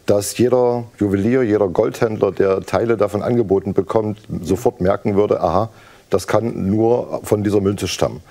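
A man explains things as an expert interviewee. His voice is fairly low and dark, with a gravelly creak. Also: normal speed. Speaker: precious metals expert.

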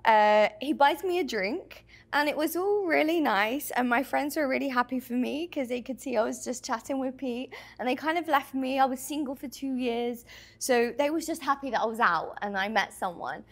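A young woman with a London accent, a guest in a studio interview, chatty and quick to giggle. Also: high-pitched. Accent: London English. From London, England. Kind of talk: casual interview answers. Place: small indoor TV studio set. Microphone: lapel.

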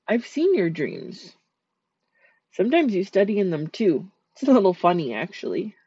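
Soft-spoken dream eater spirit, voiced by a woman. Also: mid-range voice, husky, no echo, highs cut off, exaggerated voice. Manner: soft, gentle, soothing. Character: sweet shy female dream eater spirit. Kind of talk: ASMR sleep-aid roleplay.

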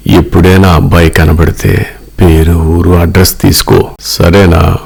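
A man's voice: low voice, little pitch movement, unhurried, creaky, darkish tone, husky, heavily acted. A man voicing a wealthy middle-aged father, earnest and protective, in a cartoon story. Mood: grateful.

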